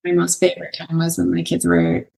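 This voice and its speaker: Mid-aged lady fitness trainer, lowish gravelly voice, stops and starts, laughs a lot. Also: very animated, fairly quick, dark tone. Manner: hesitant, laughs often. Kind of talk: casual interview answers.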